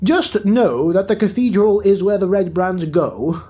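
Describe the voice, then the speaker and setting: A man's voice: high-pitched, expressive, a little slow, pitched above the performer's own voice. A man putting on a fussy, gout-stricken old townsman. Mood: fawning. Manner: theatrical groaning and fawning. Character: gouty, lovesick old male townsman. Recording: dull lo-fi recording.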